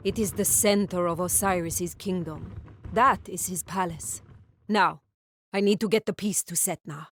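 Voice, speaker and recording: A woman's voice: lowish voice, fairly even intonation, a little slow, fairly bright tone, a little hiss or hum. A woman playing a proud, resolute priestess in a video game cutscene, grave and commanding. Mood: grave, informing.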